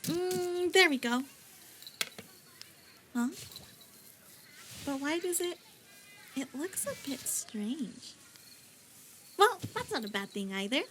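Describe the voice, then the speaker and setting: A woman voicing a bubbly, naive young shopkeeper who is excitable, chatty and easily torn between choices in a roleplay voice pack. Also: very high-pitched, background noise, heavily acted.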